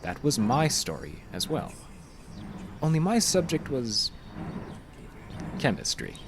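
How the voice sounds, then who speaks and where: A man playing a calmly menacing, condescending counselor in a horror audio drama, speaking deliberately and tauntingly. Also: medium pitch, vocal fry, background noise, heavily acted. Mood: coolly confiding. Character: sinister, calmly menacing male counselor secretly drugging his patient.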